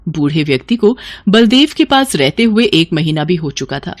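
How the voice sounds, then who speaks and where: A woman's voice: fairly low pitch, sing-song, moderate pace, dry recording. A woman reading scripted moral-story narration as the storyteller.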